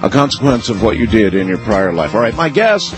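A man's voice: on the low side, sing-song, brisk, music in the background. A man hosting a radio call-in show, jumping in with short questions and prompts while taking callers. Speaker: radio talk show host. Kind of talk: late-night radio call-in chat.

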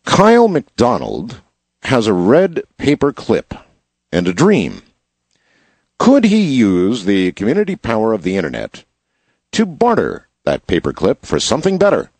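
A slightly hoarse man hosting a show, reading news headlines in a casual style and adding wry asides. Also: on the low side, huge pitch swings, a little slow, darkish tone, dry recording. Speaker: show host.

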